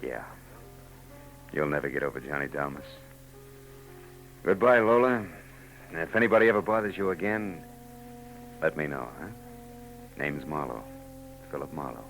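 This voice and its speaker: A world-weary private eye in an old radio detective drama. His very deep voice has a bright, forceful edge, and he delivers his lines dry and laconic. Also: lively intonation, moderate pace, pauses often, faint music behind, exaggerated voice, low-quality recording. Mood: gentle. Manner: laconic, wry hardboiled delivery. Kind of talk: 1940s radio acting.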